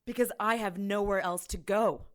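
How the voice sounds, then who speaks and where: An actress plays a tough female ex-cop in an audio drama. Her voice is medium-pitched and she delivers her lines in a blunt, sardonic, natural way. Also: steady tone, moderate pace, natural voice. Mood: candid. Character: tough, sarcastic ex-cop woman, blunt and exasperated.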